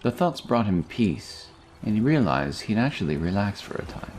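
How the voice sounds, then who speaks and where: A man's voice: fairly low pitch, big pitch swings, moderate pace, a little mellow, a little hiss or hum. A man narrating a scripted fantasy story as a storyteller. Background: music.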